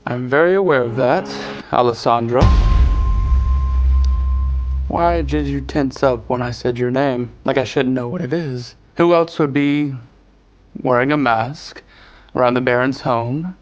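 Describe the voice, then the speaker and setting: An actor playing a cold, sardonic duke who speaks in a dry, cutting and dismissive way. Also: male voice, medium pitch, a little slow, pauses often, dull audio, slight background noise, lightly acted. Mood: cool. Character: cold, sardonic male duke, aloof and blunt. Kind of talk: audio drama acting.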